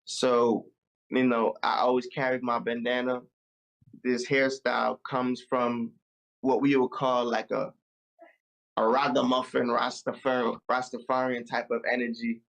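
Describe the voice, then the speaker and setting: Young Jamaican-born male musician guest, gravelly, relaxed and reflective, on a video call. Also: medium pitch, unhurried, rough recording. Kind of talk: casual interview over video call.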